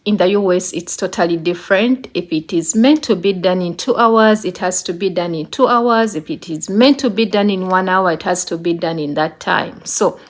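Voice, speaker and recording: A woman's voice: fairly low pitch, moderate pace, few pauses. A woman with a Ugandan accent giving casual, conversational advice as a vlogger, repeating points for emphasis. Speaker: vlogger, channel host. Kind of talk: casual advice talk to camera.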